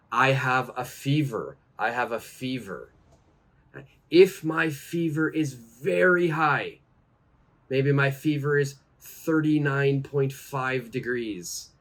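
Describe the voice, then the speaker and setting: A man in his thirties teaching English to camera, seated in a plain room, saying each phrase slowly and then repeating it. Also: mid-range voice. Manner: slow and deliberate, repeating each phrase twice. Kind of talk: slow, clear English lesson to camera. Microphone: camera framed at chest height, seated.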